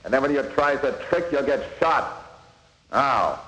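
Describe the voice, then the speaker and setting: A man's voice: medium pitch, expressive, measured pace, sharp tone. A man playing a stern, commanding prison officer in a 1940s radio crime drama, clipped and authoritative. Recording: echoey, reverberant 1940s radio recording.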